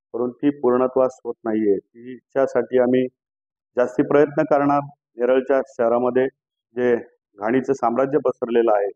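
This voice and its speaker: A middle-aged man with a Marathi accent speaks as a local party worker in an interview. His mid-pitched delivery is even and halting, with repeated phrases. The sound is thin and phone-like. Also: no room sound. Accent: Marathi, Maharashtra. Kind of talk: interview statement, political praise. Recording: dull, phone-like narrow sound.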